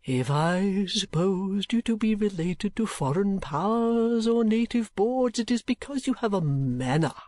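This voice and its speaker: Florid, mad old gentleman, pitched up. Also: lively intonation, no echo. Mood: courtly wooing. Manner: florid, pompous, drawn-out phrasing. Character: eccentric, courtly, mad old gentleman, grandiose suitor.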